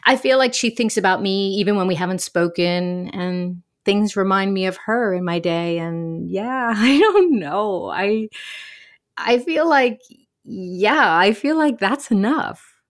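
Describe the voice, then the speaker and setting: Earnest woman with lively, swooping intonation an actress. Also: mid-range voice, close to an everyday voice. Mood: tender, tentative. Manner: earnest, halting, tentative. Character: sincere, sentimental woman eager to belong with in-laws. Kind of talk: naturalistic comedy audio drama acting.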